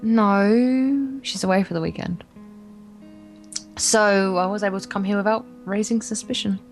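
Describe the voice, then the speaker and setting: A woman's voice: mid-range voice, a little slow, soft background music, lightly acted. A woman voicing an earnest, lovestruck, deluded teenage schoolgirl in a visual novel. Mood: earnest, insistent.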